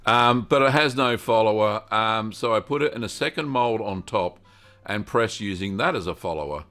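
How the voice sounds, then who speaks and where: A man's voice: lowish voice, expressive, moderate pace, bright, forceful tone. An older man hosting a live-stream Q&A, talking in a rambling, friendly way with lots of ums. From Australia. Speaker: host answering cheese-making questions.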